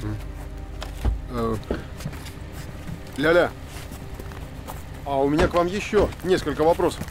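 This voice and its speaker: A man's voice: on the high side, lively intonation, slow pace, pauses often, over music. A man in his forties playing a persistent, inquisitive theatre director in a naturalistic screen drama. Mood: concerned, probing. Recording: film dialogue over street and car noise.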